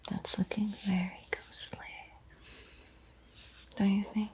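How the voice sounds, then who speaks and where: A woman whispers painting instructions in a soothing, encouraging ASMR style, with a breathy, airy voice. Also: mid-range voice, flat delivery, slow pace, darkish tone. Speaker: art tutorial host.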